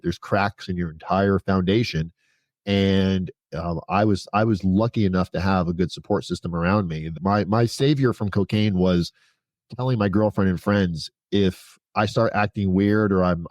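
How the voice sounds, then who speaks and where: A man's voice: deep voice, a little mellow, dry recording. A man in his forties speaking as a podcast guest, telling his own story in a frank, conversational way. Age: 40s. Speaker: podcast guest, prison consultant. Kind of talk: candid personal storytelling in an interview.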